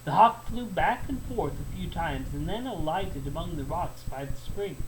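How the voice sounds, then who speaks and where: A man narrating a folktale as a storyteller, in an expressive, deliberate and emphatic way. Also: fairly high pitch. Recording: faint background noise.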